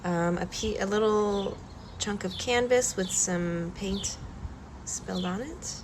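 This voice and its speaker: A woman vlogger with a cold and a slightly stuffy nose, talking softly and hesitantly with many pauses during a calm art demonstration. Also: mid-range voice, background noise. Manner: slow, soft-spoken, hesitant with many ums. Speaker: collage artist vlogger.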